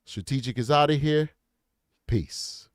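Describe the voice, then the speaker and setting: A man hosting a true crime livestream, reading super chats aloud and thanking viewers in an upbeat, repetitive way with lots of ums. His voice is hoarse and worn out. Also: mid-range voice, measured pace, no room sound. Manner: upbeat, repeats himself, frequent ums.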